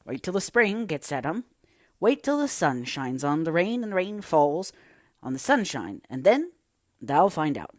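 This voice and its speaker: A woman's voice: low voice, big pitch swings, measured pace, a little mellow, no room sound, studio quality. A woman voicing a crusty old male gardener, grumbling and blunt but kind underneath, in a storybook reading. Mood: reluctant, gruff. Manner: grumbling, blunt dialect speech.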